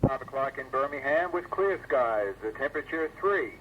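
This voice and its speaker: A man's voice: medium pitch, animated, normal speed, highs cut off, background noise. A male announcer giving a scripted current conditions report on 1980s weather radio. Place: broadcast over weather radio.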